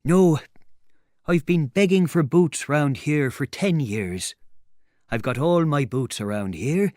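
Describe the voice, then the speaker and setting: A man's voice: fairly high pitch, expressive, takes it slowly, darkish tone, no echo, clean studio sound, pitched above the performer's own voice. A man voicing a grumbling, frightened middle-aged tramp in an audiobook, plaintive and exclamatory, stretching words for emphasis. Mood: weary grumbling.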